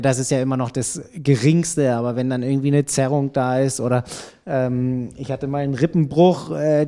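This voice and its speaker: A young man in a casual podcast conversation, talking in a relaxed way and thinking aloud with many fillers. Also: medium pitch. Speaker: podcast guest, triathlon editor.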